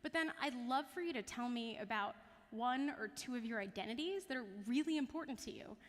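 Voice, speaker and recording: A woman hosts a panel in a conversational way. Her voice sits on the high side, and it rings with the long reverb of a conference hall. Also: expressive, fairly quick. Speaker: panel moderator. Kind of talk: conversational panel introduction to an audience. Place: conference stage in a large hall.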